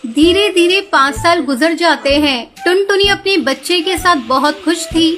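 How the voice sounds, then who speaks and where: A woman's voice: very high-pitched, measured pace, noisy background. A woman giving scripted narration for a children's story.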